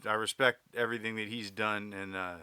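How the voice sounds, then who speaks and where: A man's voice: fairly low pitch, little pitch movement, brisk, sharp tone, clear, resonant tone, no echo, clean studio sound. A man hosting a podcast, chatting easily and agreeably with his guest. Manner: easygoing, agreeable, frequent backchannel. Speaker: podcast host. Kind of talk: casual interview chat.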